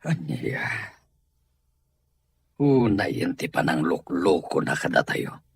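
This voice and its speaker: A man's voice: low voice, big pitch swings, takes it slowly, gravelly, breathy edge, no echo, full character voice. A man with an Ilocano accent playing a stern, worried middle-aged father in an audio drama. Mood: concerned.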